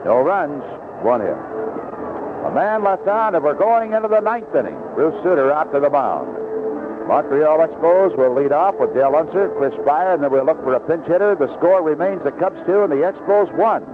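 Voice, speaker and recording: A man's voice: a little high, big pitch swings, normal speed, phone-quality sound, background noise. A man calling baseball play-by-play live from a ballpark booth on a 1970s radio broadcast.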